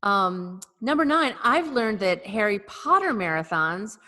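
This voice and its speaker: A woman's voice: medium pitch, big pitch swings, unhurried, bright, forceful tone. Wry, giggly woman with echoing audio. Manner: wry, giggly delivery of a comic list. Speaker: podcast co-host. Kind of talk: casual chat over video call. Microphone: video call.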